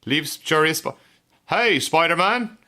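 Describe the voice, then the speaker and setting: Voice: mid-range voice, animated, measured pace, bright, forceful tone. An adult with an Irish accent presenting a playful children's puppet show, exclaiming and coaxing in a singsong way.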